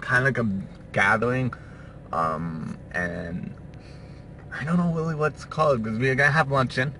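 A man in his twenties vlogging casually into a handheld phone, halting and repetitive, often trailing off into vague asides. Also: medium pitch, pushed and bright. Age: 20s. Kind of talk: casual rambling vlog talk. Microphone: phone at arm's length. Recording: faintly noisy handheld phone recording.

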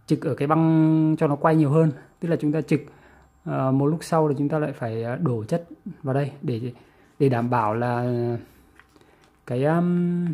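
A man with a northern Vietnamese accent giving a casual hands-on tutorial, halting and repeating words as he goes. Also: mid-range voice, warm tone. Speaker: vlogger presenting a how-to. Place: small indoor room with audio gear.

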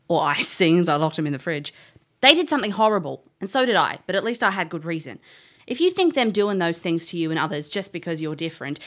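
Woman playing a brash, fast-talking vigilante investigator. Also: fairly low pitch, a bit bright. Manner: casual, blunt, colloquial. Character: brash, hot-headed woman vigilante investigator, righteous and flippant. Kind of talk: audio drama acting and first-person narration.

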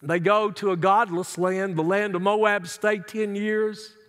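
A man's voice: sits high, steady tone, measured pace, fairly bright tone, clear, resonant tone. A middle-aged male pastor preaching an earnest, emphatic sermon from his seat in a large church sanctuary. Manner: earnest, emphatic preaching with wide hand gestures. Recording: long church hall reverb.

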